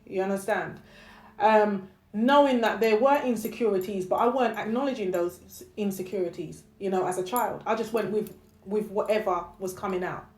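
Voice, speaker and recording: A woman's voice: mid-range voice, normal speed, a little mellow. A woman poet talking reflectively and candidly about her own work, conversational and emphatic, often checking that the listener follows. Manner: candid, emphatic, with frequent "you know" and check-in questions. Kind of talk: reflective, conversational personal talk. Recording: roomy, echoey sound.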